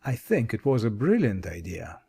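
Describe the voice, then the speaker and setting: Man voicing a mild scarecrow, thoughtful, mellow, lively pitch. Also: moderate pace, slightly rough, heavily acted. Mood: calm. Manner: calm, thoughtful. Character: calm straw-stuffed man, gentle thinker.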